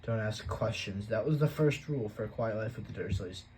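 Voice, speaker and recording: A man reading a novel aloud as an audiobook, in plain, steady storytelling. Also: lowish voice, fairly quick, a little hiss or hum, poor audio. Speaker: audiobook reader.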